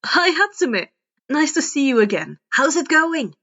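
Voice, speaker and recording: A woman reads as a cheerful teenage boy in an audio story. His voice is high, friendly and polite. The recording is slightly dull. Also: big pitch swings, unhurried, bright, forceful tone, exaggerated voice.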